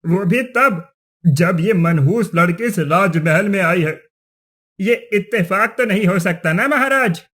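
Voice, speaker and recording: Man acting high, sharp, accusing village chief. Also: animated, measured pace, exaggerated voice. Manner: insistent, accusatory. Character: superstitious, accusing, scheming male village chief. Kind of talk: Hindi cartoon story acting.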